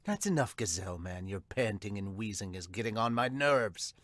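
A man's voice: lowish voice, animated, moderate pace, fairly bright tone, no echo, voice pushed down. A man voicing a smug, impatient villain boss from a manga, with a mocking, condescending manner. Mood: irritated.

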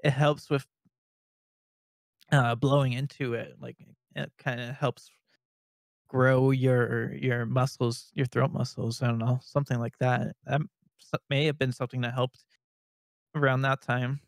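A young man from Canada chatting as a podcast co-host, rambling with many restarts and nervous laughs, with a fairly high-sounding voice. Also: little pitch movement, a little slow, creaky, pauses often, no room sound, studio quality. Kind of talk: casual podcast chat.

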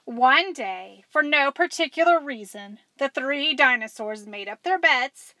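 American woman, expressive storybook read-aloud, animated, emphatic, bright forward tone, dry sound. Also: high voice, a little slow, dry recording, rough recording. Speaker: school librarian reading to children.

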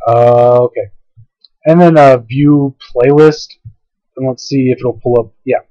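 A man narrating a casual, unscripted software tutorial, hesitant and rambling, his voice tiring as his throat gives out. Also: medium pitch, fairly even intonation, a little slow, dry recording. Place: indoors at a computer, screen-capture voice-over. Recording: dull lo-fi home screen recording.

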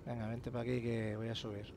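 A man from commentating a horror game, chatty and excited, swearing often. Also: medium pitch, brisk. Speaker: gamer. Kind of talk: let's play commentary. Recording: noisy game audio underneath. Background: game ambience.

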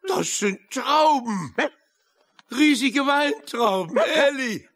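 An actor playing a blustering, excitable seafaring uncle in a German children's radio play, with exclamatory sailor talk. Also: male voice, extremely high pitch, slow, full character voice. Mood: astonished.

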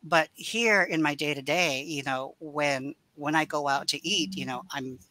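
A woman's voice: low voice, unhurried, bright, forceful tone, slight background noise, rough recording. A middle-aged woman author speaking in a home room over a video call, thoughtful and rambling with many fillers and restarts. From United States. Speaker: author guest. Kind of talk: casual video-call interview.

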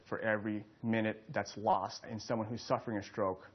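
A man's voice: fairly low pitch, little pitch movement, a little fast, slightly rough, highs cut off. A man explaining things in an interview as a neurologist. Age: 30s. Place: small furnished indoor room.

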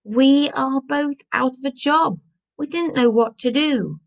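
A woman's voice: sits high, unhurried, voice pushed up. A woman voicing a genteel, unflappable older married woman with a polite, rueful manner in a story. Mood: glum.

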